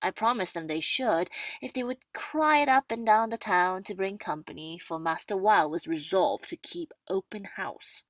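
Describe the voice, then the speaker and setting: A woman's voice: medium pitch, moderate pace, hardly any pauses, dry recording, close to an everyday voice. Bossy chatty captain guy done by a woman, old stage comedy, lo-fi and very dry. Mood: bustling, boastful. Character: bustling, boastful male captain, scheming and talkative. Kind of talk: amateur audio play of Restoration comedy. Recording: dull, lo-fi, dry audio.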